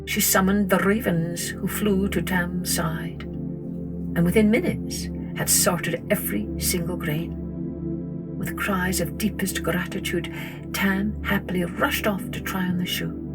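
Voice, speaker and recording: A woman storyteller reading a scripted children's tale in a deliberate, expressive manner. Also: lowish voice, loud music behind the voice. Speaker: storyteller, podcast host.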